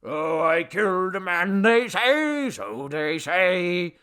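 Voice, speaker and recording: A man voicing a tipsy, boastful old Babylonian idol god from a story, with a slurred, booming, folksy drawl. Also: very animated, slow pace, a bit bright, full character voice. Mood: drunken singing.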